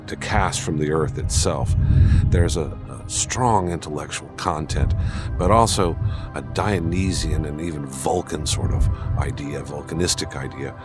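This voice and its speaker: A man giving documentary commentary on an artist's work, articulate but with occasional restarts. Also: very deep voice. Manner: articulate with some fillers and restarts.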